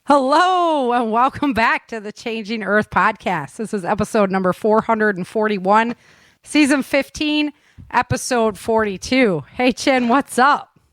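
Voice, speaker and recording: A woman's voice: a little high, a little slow, a bit bright, no echo. An American woman hosting a podcast in a casual, chatty, slangy way. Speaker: podcast host. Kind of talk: casual upbeat podcast chat.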